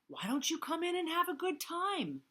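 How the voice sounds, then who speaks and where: Woman voicing a zebra, cheerful, easygoing, bright, high, lively. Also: normal speed, full character voice. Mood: breezy, friendly. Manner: friendly, breezy, chuckling.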